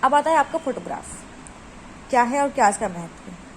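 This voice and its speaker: A woman's voice: a little high, expressive, fairly quick, a little hiss or hum, poor audio. A woman teacher explaining a lesson, reading out textbook phrases and then rephrasing them in casual Hindi mixed with English words. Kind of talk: explaining from study notes.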